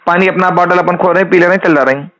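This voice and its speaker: A man with a Hyderabadi accent and a slightly high voice talks informally on a recorded phone call. He is the caller, laying out his question in an insistent, repetitive way. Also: steady tone, rapid-fire, fairly bright tone. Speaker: caller putting a question to a cleric.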